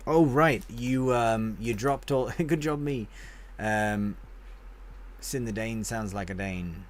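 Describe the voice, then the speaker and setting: A game streamer with a fairly low voice talks with viewers in a loose, rambling way. He answers chat with easygoing, friendly banter. Faint ambient noise sits behind him. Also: takes it slowly.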